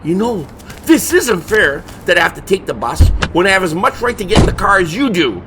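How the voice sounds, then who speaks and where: Cranky high-voiced brother, acted by a man, animated. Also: brisk, slight background noise, full character voice. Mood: exasperated. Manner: exasperated, sarcastic. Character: cranky, sarcastic brother of a monster hunter. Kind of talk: comedy horror audio drama acting.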